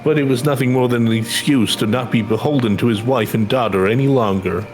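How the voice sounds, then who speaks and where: A man's voice: mid-range voice, brisk, soft background music, close to an everyday voice. A man voicing a proud, guarded widowed mother from a video game, blunt and colloquial. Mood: bitter. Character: bitter, proud widowed mother of a young girl. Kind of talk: reading video game dialogue in character.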